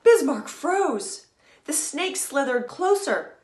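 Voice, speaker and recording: A woman author reading a children's story aloud in a deliberate, teacherly, emphatic way. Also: high voice, big pitch swings, darkish tone. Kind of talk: expressive children's storybook narration. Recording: echoey room.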